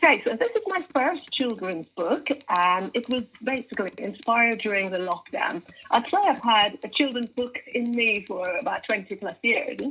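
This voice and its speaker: A woman's voice: on the high side, expressive, normal speed, hardly any pauses, fairly bright tone, husky, low-quality recording. A middle-aged woman from Guyana, a children's author working in tech, answering interview questions earnestly with frequent restarts.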